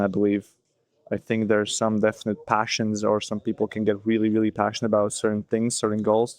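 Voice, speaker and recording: A young man in his twenties thinks out loud in a casual solo talk, his low voice staying flat and even. He wanders through his points with plenty of "like" and "you know" fillers. The sound is dry and close, with no room around it. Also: no room sound. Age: 20s. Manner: thinking aloud, loose and unscripted, full of "like" and "you know". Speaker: vlogger. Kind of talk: reflective solo monologue.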